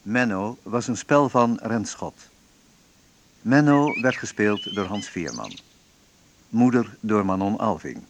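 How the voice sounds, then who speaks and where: Male announcer, formal radio credits, measured list-like reading, very slow, faintly noisy old recording. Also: fairly low pitch, lively intonation.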